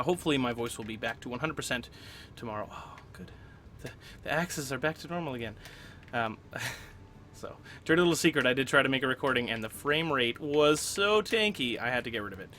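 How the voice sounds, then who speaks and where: Young guy with a cold, clearing his throat a lot, chatty game commentary, bright voice, faint hiss behind. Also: medium pitch, animated, fairly quick. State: getting over a cold, coughing. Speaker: let's play host. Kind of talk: casual gameplay commentary.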